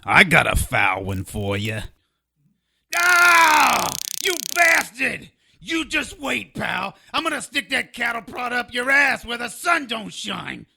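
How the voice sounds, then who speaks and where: An actor playing a small, foul-mouthed, grumpy gnome-like creature with a raspy voice in an audio drama. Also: male voice, very high-pitched, big pitch swings, slow pace, bright, forceful tone, exaggerated voice. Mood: furious. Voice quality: raspy, gravelly. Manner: crude, combative street-tough talk.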